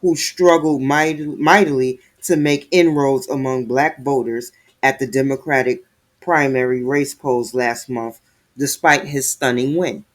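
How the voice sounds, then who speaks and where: A man's voice: mid-range voice, fairly even intonation, unhurried. A man giving heated, sarcastic commentary while reading news aloud, stumbling over words as he reads.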